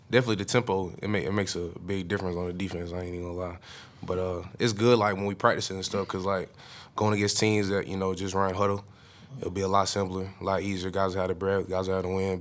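Young lineman at the press table, low monotone voice, sincere, full of fillers. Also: fast talker. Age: young adult. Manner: earnest, informal, many "uh"s and restarts. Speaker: college football lineman. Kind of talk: postgame press conference answers. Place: press room in front of a logo backdrop. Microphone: table mic on a stand.

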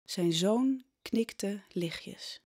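A woman narrating a scripted radio drama in a measured, suspenseful storytelling manner. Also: medium pitch, darkish tone, slightly rough, no echo, studio quality. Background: faint music.

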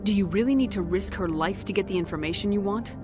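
An actress playing a calm, firm female military commander in a video game cutscene, speaking level and direct. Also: medium pitch, fast talker, music in the background, lightly acted. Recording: game audio with loud score.

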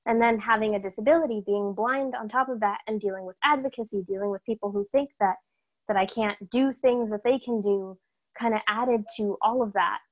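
A woman's voice: mid-range voice, fairly quick, poor audio. A young woman from Massachusetts, introducing herself in an upbeat, chatty way over a laptop video call. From Massachusetts, USA. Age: young adult. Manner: upbeat, energetic, chatty with fillers. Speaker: guest performer. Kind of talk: casual self-introduction.

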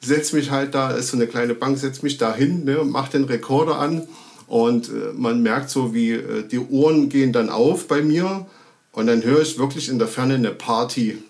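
A man in his thirties with a medium-pitched voice. He talks casually, as in a remote interview, and feels his way through sentences haltingly as he thinks aloud. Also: creaky, a little mellow. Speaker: sound recordist and film editor. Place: indoor room, video call. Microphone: video call.

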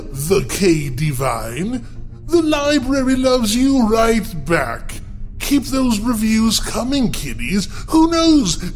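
A man's voice: high voice, exaggerated voice. An actor playing a gleefully creepy, theatrical horror librarian who teases listeners as he hosts a podcast. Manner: theatrical, slow, cackling. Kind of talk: in-character horror podcast hosting.

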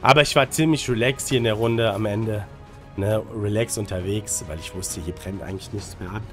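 A man's voice: lowish voice, a little fast. A man with a slight cold giving casual gameplay tips in a colloquial way, with English gaming slang and frequent tag questions. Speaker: gaming guide creator and streamer. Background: music under the commentary.

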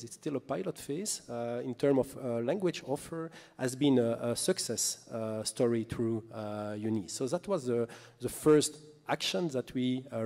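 Halting man presenting in a reverberant hall. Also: medium pitch, warm tone. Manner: halting, hesitant delivery. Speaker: conference panel presenter. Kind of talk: semi-scripted presentation. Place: indoor hall, panel table. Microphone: table microphone on stand.

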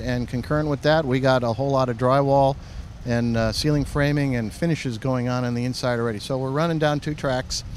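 A middle-aged man with a medium-pitched voice gives plain, unpolished answers full of pauses and fillers. He talks into a handheld mic outdoors in a half-built stadium. Faint city noise sits behind him. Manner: plain-spoken, halting, with many fillers. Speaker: project manager. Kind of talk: casual on-site interview answers. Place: outdoors in an unfinished stadium under construction. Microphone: host's handheld microphone.

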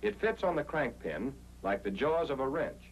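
American man, animated 1940s training-film narrator. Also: mid-range voice, normal speed. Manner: methodical, explanatory. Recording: noisy 1940s film soundtrack with hum.

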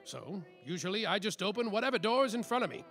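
Guy doing a cocky boozy noir detective, gravelly but kinda high, comedy radio play narration. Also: sing-song, fast, a bit bright, soft background music, full character voice. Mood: dramatic. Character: semi-sober, world-weary, boastful male private detective.

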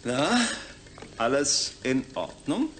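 Animated, businesslike male project scientist. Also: mid-range voice, slow pace. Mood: upbeat, official. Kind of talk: German audio drama dialogue.

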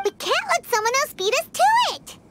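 A woman voicing a tiny, excitable fairy girl companion in an anime game, childlike and bossy. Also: a little fast, a little hiss or hum, heavily acted. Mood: excited, urgent. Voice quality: squeaky, childlike. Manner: bossy, eager, refers to herself by name. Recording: game music under the voice.

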